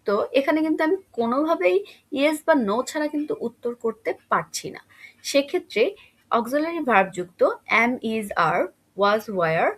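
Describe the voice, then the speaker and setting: Husky, high-pitched woman teaching. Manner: instructive, asks and answers own questions. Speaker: English grammar teacher. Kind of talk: explanatory lesson for schoolchildren.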